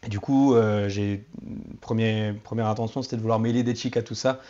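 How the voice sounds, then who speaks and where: A man, a musician in a band, talks in a relaxed, conversational way as he answers interview questions. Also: lowish voice, a little fast, muffled recording. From Turkish family background.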